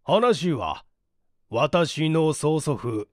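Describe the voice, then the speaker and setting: A man voicing a mild, sheepish gentleman and father in an audiobook, speaking in a deliberate, self-deprecating way. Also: a little high, animated, dry recording, clean studio sound. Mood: explaining. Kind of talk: audiobook character reading.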